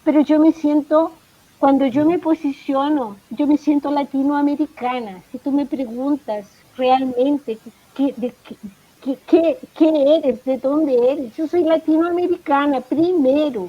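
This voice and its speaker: A woman with a Chilean Spanish accent talks in a high voice, picking her words slowly and restarting phrases as she thinks. The sound is muffled and lo-fi, like a video call. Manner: thoughtful, hesitant restarts. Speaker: professor, guest. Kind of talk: casual conversation.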